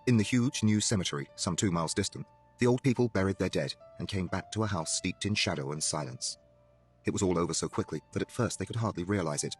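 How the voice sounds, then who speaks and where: Deep-voiced male horror narrator. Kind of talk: dramatic scripted horror narration. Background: music.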